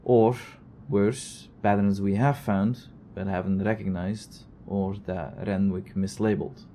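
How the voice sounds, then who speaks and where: A man's voice: on the low side, fairly even intonation, unhurried, dark tone, slight background noise. An actor playing a weary, wry male investigator who records a conversational log in an audio drama, with a dry, sardonic, rambling manner. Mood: frustrated.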